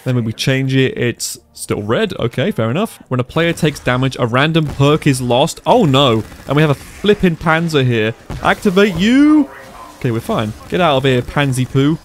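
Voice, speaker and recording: A young man giving casual commentary over his gameplay, his voice a little congested from a cold and quick to react aloud to the game. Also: mid-range voice, expressive. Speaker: gaming YouTuber.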